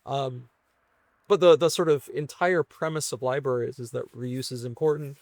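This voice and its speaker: A man's voice: mid-range voice, steady tone, normal speed, a little mellow, dry recording. A man talking casually through technical ideas as an interview guest, reasoning aloud with hedges and tag questions. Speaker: guest, venture investor and software engineer.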